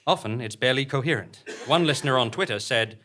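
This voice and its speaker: A man's voice: mid-range voice, quick pace, sharp tone. A man hosting a podcast, reading a scripted comic announcement in a dramatic, mock-serious manner. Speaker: podcast host and performer.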